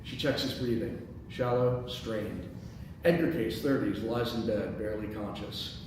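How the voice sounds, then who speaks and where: A man's voice: on the low side, a little slow. A man hosting a script table read and reading out the stage directions. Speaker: host and stage-direction reader. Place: indoor meeting space. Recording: very echoey room, distant mic, background noise.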